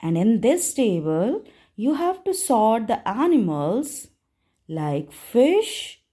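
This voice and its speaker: Very slow, mellow woman teacher. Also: a little high, sing-song, husky. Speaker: primary school teacher. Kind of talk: simple scripted lesson narration for young children.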